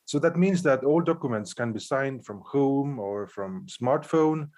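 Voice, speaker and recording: A man gives a panel talk at a middling pitch. He speaks in a careful, halting way with plenty of pauses. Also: animated, a little mellow. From Estonia. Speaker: pastor and panelist.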